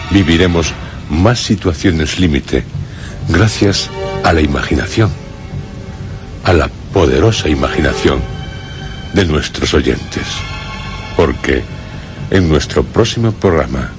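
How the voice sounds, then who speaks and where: A man presenting a scripted radio drama programme, solemn and theatrical with deliberate pauses. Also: rumbling low. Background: prominent music.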